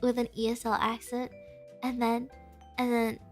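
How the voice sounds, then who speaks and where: Bubbly high-voiced Valley girl woman. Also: monotone, moderate pace, faint music behind. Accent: American Valley girl. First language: Spanish. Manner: chatty, filler-heavy "like", giggly, bubbly. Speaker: streamer reading chat. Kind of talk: casual stream chat.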